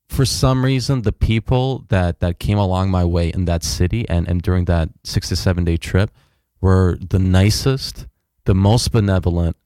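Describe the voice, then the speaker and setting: A young man with a low, mellow voice tells his story in a relaxed, wandering way, full of fillers and restarts. The sound is dry and close, with no room echo. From United States. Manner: rambling, many fillers and restarts. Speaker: guest. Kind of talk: casual interview storytelling.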